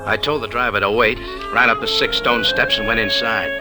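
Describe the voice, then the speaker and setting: A man's voice: fairly low pitch, steady tone, fairly quick, natural voice. An American man playing a cool, wry private investigator, narrating his case in dry, clipped 1940s radio style. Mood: matter-of-fact. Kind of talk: 1940s radio acting, first-person hardboiled narration. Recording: old radio recording with music behind.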